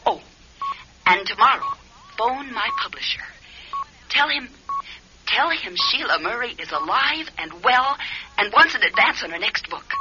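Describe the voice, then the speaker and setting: A woman's voice: fairly high pitch, animated, a little slow, pauses often. An actress playing a cunning, controlling psychic woman who commands by telepathy in a radio drama. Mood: scheming, smug. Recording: dull lo-fi radio recording, clear noise.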